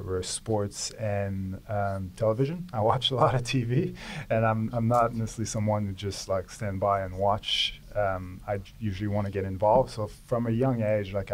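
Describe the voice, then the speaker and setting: A man's voice: fairly low pitch, slight background noise. A man in his thirties from Canada, chatting casually as a podcast guest with a loose, rambling manner and many fillers, on a close studio mic in a small home room. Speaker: podcast guest, sports videographer. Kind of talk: casual interview chat. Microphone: studio microphone on a boom, close.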